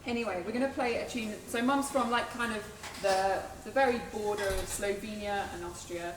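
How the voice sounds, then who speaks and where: A woman's voice: mid-range voice, moderate pace. A woman folk musician casually introducing a tune on stage, chatty and hesitant with many fillers. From England. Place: live music venue. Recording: echoey room, distant mic, background noise.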